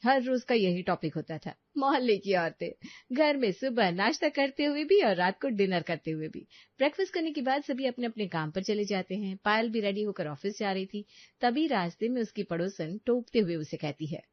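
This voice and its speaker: A woman's voice: medium pitch, lively intonation, moderate pace, no echo, highs cut off. A woman narrating a scripted story as its storyteller.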